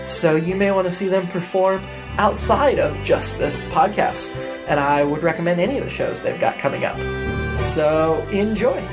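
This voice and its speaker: A woman with a fairly low voice introduces a podcast as its host. Music plays clearly behind her as she addresses the listeners. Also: expressive, normal speed. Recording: music under the voice.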